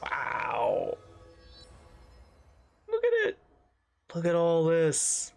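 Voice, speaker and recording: A man's voice: on the high side, wildly swooping pitch, very slow, gravelly. A man doing casual gameplay commentary as a streamer, exclamatory and musing aloud, with game music behind him. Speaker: streamer reacting to a video game.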